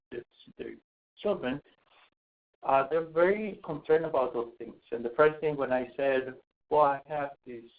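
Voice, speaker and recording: A man from Costa Rica giving a webinar talk on home visits, hesitant, with many fillers and restarts. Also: fairly high pitch. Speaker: asthma program home visitor. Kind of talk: webinar presentation. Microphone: webinar call mic. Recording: tinny phone-like webinar audio.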